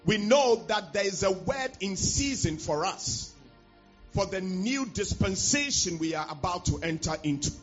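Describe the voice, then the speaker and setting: A man preaching a fervent sermon, sounding nasal from a cold, pausing line by line for his interpreter. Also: sits high, expressive. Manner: fervent, call-and-response pacing. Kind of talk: sermon with prayer and scripture reading. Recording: dull lo-fi church recording with faint music.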